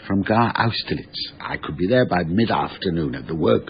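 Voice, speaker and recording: An actor playing a deferential older manservant in a radio drama, hesitant, obsequious and quietly sly. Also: male voice, low voice, lively intonation, normal speed. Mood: eager, sly. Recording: dull lo-fi radio recording.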